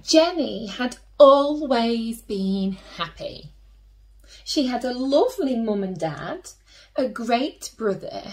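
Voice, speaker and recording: Woman, children's story reader, unhurried, expressive swings in pitch, drawn-out emphasis, hushed asides, teacherly. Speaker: teacher reading children's stories, host. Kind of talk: expressive picture-book read-aloud for children.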